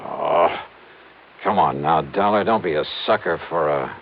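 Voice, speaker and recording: A man's voice: very deep voice, a little slow, creaky, a little hiss or hum, exaggerated voice. Wry, hard-nosed American male investigator, vintage radio detective delivery, muffled band. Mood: musing. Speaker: lead actor and narrator. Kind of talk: 1950s radio detective acting and narration. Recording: muffled old radio recording with music.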